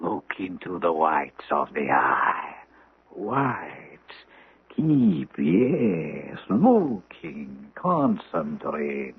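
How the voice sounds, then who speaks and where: An actor playing a pompous, controlling hypnotist in a radio drama. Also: male voice, sits low, very animated, drawn-out words, husky, heavily acted. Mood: commanding, hypnotic. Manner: pompous, speaks of himself in third person. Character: sinister, controlling male hypnotist, theatrical and self-important. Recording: scratchy, narrow-band old radio recording.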